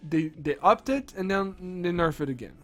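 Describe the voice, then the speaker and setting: A young man with a sick, strained voice, rambling excitedly through game patch notes with lots of restarts. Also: high voice, moderate pace, soft background music. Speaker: gaming streamer. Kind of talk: casual patch-notes commentary.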